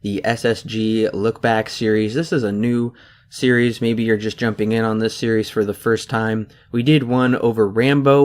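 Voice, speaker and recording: A young man co-hosting a podcast in casual chat, talking steadily and reeling off dates and figures. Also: fairly low pitch. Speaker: podcast co-host.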